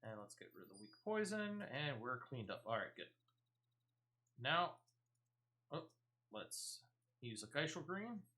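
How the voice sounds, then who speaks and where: A man's voice: medium pitch, very animated, a little slow, pauses often, sharp tone. A man giving casual live gameplay commentary as a streamer, chatty and emphatic, often repeating phrases. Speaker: game streamer.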